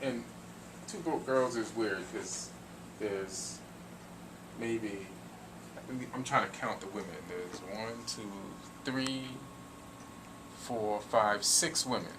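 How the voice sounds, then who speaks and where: A man's voice: fairly low pitch, slow pace, long pauses, noisy background. A man guesting on a radio talk show, chatting casually and joking with the hosts. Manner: laid-back, joking, laughs often. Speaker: guest comedy writer. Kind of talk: casual comedic chat.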